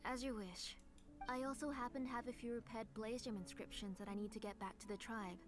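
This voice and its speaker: A caring, overprotective mother from an anime game, acted by a woman, speaking in a fairly high voice. She frets and gently scolds, with music clearly playing behind her. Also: fairly even intonation, fairly quick, natural voice. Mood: cautious. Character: fussing, overprotective, caring middle-aged mother.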